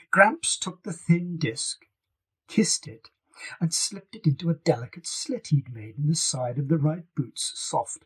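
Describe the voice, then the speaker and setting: Husky-voiced man narrating a novel. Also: on the high side, poor audio. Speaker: author reading own novel. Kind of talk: scripted audiobook narration.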